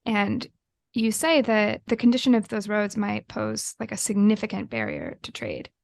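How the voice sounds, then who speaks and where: A woman's voice: mid-range voice, very animated, normal speed, creaky, darkish tone, no room sound, clean studio sound. A woman interviewing a guest on a podcast, asking questions in a conversational way.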